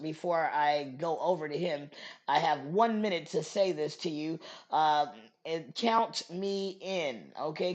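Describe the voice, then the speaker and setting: A woman's voice: sits low, highs cut off. A woman hosting a podcast in a casual, chatty way. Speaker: podcast host.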